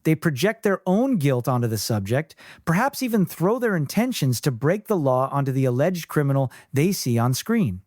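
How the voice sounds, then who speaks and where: A man reading scripted fiction as an audiobook narrator, in a measured storytelling manner. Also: fairly high pitch, sing-song, a little mellow, dry recording, studio quality.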